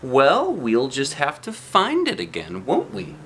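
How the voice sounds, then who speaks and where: A man voicing a cheerful, caring storybook fellow with an eager, expressive delivery. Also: on the high side, a little slow, slight background noise, exaggerated voice. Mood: encouraging.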